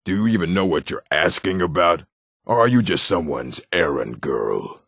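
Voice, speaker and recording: An actor playing a gruff, scornful male orc in a fantasy video game, with a rough voice and a weighty, emphatic delivery. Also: expressive, normal speed, a bit bright, no room sound, studio quality, exaggerated voice. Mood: scornful, guarded. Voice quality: deep, gravelly, rough.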